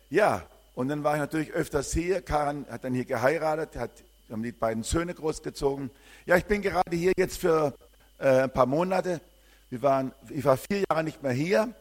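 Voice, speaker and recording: Older German missionary, rambling testimony. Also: male voice, fairly high pitch. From southern Germany. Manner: warm, rambling, with frequent hesitations. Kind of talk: church greeting and personal testimony.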